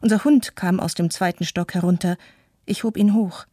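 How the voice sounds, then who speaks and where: A worried, self-doubting mother played by an actress in a radio play. She sounds subdued and introspective, with a husky, mellow voice in a dry recording. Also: lowish voice, steady tone, normal speed, no room sound, lightly acted. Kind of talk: scripted radio-play monologue, read testimony.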